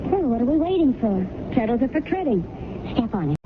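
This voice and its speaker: A woman playing a wry, playful young actress with expressive, bantering delivery in an old-time radio drama. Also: fairly high pitch, a little fast. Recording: tinny, very noisy old radio recording.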